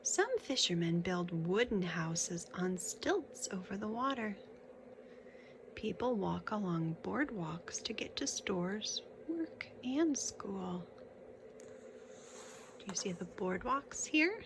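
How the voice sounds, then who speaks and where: A woman reads aloud to young children at a slow, measured pace, in a mid-pitched voice. She is instructive, moving between the book's text and casual questions that point out the pictures. Clear background noise runs through the recording. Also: sing-song. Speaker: children's picture book reader.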